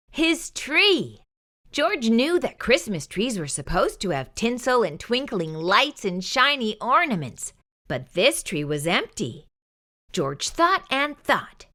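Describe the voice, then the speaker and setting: A woman hosting a children's storytime, reading a picture book aloud in an expressive, sing-song way. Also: mid-range voice.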